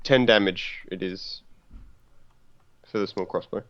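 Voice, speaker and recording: A man's voice: sits low, measured pace, a bit bright. A man playing a tabletop roleplaying game, chatting casually on a lo-fi recording.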